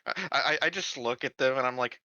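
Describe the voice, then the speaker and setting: A man's voice: mid-range voice, a little fast, bright, forceful tone, no room sound, dull audio. A man playing a tabletop role-playing game, chatting casually and jokingly.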